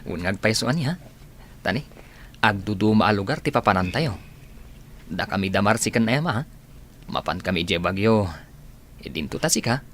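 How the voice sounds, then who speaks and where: A man's voice: lowish voice, animated, moderate pace, pauses often, fairly bright tone, a little hiss or hum. A man acting in a radio drama as a practical, supportive young friend, with a Filipino Ilocano accent. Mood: concerned.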